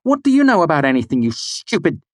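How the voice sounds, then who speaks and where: A man voicing a proud, hot-tempered young man in an audiobook, shouting biting words. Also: fairly low pitch, little pitch movement, slow pace, breathy edge, dry recording, studio quality, heavily acted.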